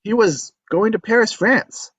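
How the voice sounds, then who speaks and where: A man's voice: high-pitched, a little slow, fairly bright tone, slightly rough, no echo, exaggerated voice. A man playing an adventurous, easygoing young small-town man who tells his own story at a table read. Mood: breezy. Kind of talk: table-read acting with first-person narration. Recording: dull lo-fi microphone sound.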